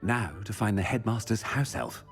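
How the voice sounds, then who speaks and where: An actor playing a polite, uncertain student disguised as a headmaster in a video game. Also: male voice, on the low side, normal speed, over music, natural voice. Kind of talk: video game cutscene acting.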